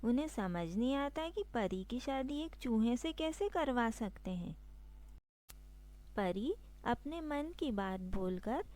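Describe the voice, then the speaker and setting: A woman's voice: fairly high pitch, animated, slow, dark tone. A woman storyteller reading scripted narration for a children's story.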